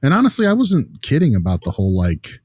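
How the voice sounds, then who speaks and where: A man's voice: mid-range voice, wildly swooping pitch, fast talker, darkish tone, husky, dry recording, clean studio sound. A man speaking informally in a casual podcast discussion, blunt and opinionated as a co-host.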